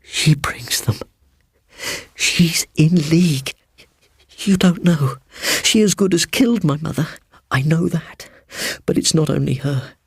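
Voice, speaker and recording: Secretive British schoolboy male reader acting, higher hushed husky voice, bitter and scared, ghost-story audiobook. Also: takes it slowly, pauses often, no echo, clean studio sound, exaggerated voice. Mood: bitter, intense. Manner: hushed, breathy whispering. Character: frightened, secretive, bitter schoolboy haunted by his aunt.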